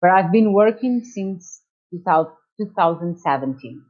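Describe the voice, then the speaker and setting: A woman from Portugal speaking English with a Portuguese accent, slowly searching for words as she explains her chamber of commerce in an interview over a video call. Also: fairly low pitch, lively intonation, darkish tone. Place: in Lisbon. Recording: dull lo-fi call audio.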